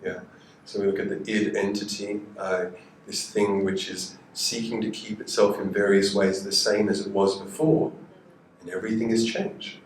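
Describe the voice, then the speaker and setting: A man on a panel, speaking in a measured, contemplative way, recorded from a distance in an echoey room. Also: fairly low pitch, little pitch movement, a little hiss or hum. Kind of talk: panel discussion.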